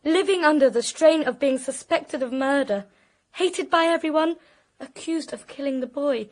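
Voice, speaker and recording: A woman's voice: sits high, moderate pace, a little mellow, close to an everyday voice. An actress playing a troubled, plaintive young woman in a radio mystery drama. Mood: anguished. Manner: earnest, plaintive. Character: troubled young British woman, suspected of murder, despairing.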